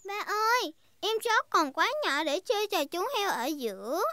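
A woman's voice: very high voice, very animated, moderate pace, pushed and bright, dry recording, studio quality, full character voice. A woman voicing a chatty, playful little girl piglet with a childlike voice in a preschool cartoon. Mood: pleading. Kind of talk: Vietnamese-dubbed preschool cartoon acting. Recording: music behind the voice.

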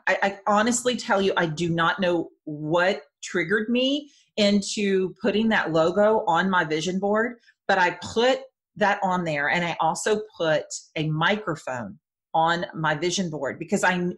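A woman's voice: on the low side, expressive, fairly bright tone. A woman podcast host with a Southern American accent, chatting enthusiastically with her guest. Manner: chatty, enthusiastic, quick asides. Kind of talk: casual interview chat.

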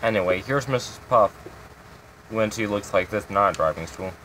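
A man's voice: fairly low pitch, normal speed, fairly bright tone, slight background noise, poor audio. A man hosting his own game channel, giving a casual, rambling tour of his builds with lots of fillers.

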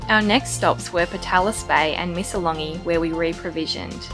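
A woman reading a calm, matter-of-fact voice-over narration for a sailing vlog. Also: fairly low pitch, normal speed. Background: background music.

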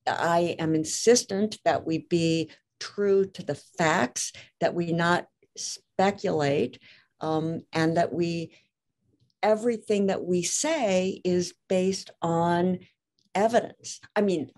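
A woman with a slightly low voice talks through her work in an interview. She chooses her words carefully, qualifying and rewording points as she goes, like someone used to being exact. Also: takes it slowly, a little mellow. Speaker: interviewed author and lawyer. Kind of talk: conversational interview.

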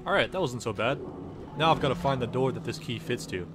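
A man's voice: medium pitch, lively intonation, a little fast, over music. A sarcastic, foul-mouthed man doing comedic commentary as a video game character. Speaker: gameplay series creator voicing the player character. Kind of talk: comedic improvised-sounding game dub commentary.

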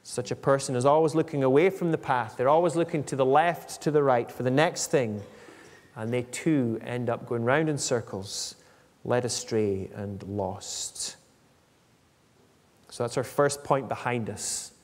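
A man in his forties delivering an expository sermon in a measured, explanatory manner, reading out scripture and commenting on it. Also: mid-range voice, darkish tone. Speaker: preacher. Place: indoor church hall at a lectern. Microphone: microphone on a stand at lectern. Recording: echoey church hall, long reverb.

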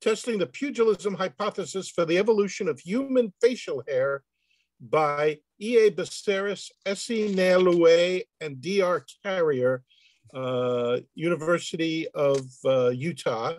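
A man with a fairly high voice reads a scientific paper aloud. He is on a video call, and the sound is dry with little room in it. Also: measured pace, a little mellow, dry recording, rough recording. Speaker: dramatic reader. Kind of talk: reading a research paper aloud.

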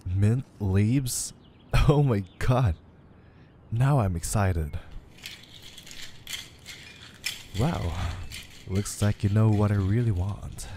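A man's voice: lowish voice, takes it slowly, long pauses, dark tone, slight background noise. A man playing a playful, flustered, affectionate young boyfriend in an ASMR roleplay, speaking intimately and breathily, often near a whisper. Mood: delighted, affectionate.

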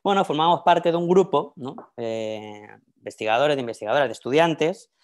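A man's voice: on the high side, expressive, a little fast. Middle-aged man with a Madrid accent. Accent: Madrid Spanish. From Madrid, Spain. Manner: conversational, often tagging phrases with a questioning "¿no?". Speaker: academic panelist, researcher. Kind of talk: informal academic talk. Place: indoors in a book-lined room, on a video call. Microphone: wired earphone headset on a video call.

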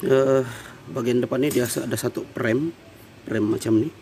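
A man's voice: mid-range voice, steady tone, moderate pace. A man in his thirties with a Malaysian accent, explaining a car air-conditioning repair step by step in a casual vlog, recorded on a handheld phone in a workshop. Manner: plain, step-by-step explaining with fillers. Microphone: handheld phone camera. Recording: noisy workshop background.

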